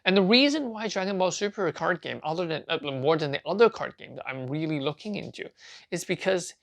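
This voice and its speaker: A man who grew up in Canada and Hong Kong, hosting a card-collecting channel and talking casually to camera, halting with many restarts and fillers. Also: fairly high pitch, lively intonation, creaky, a bit bright. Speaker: trading card seller and channel host.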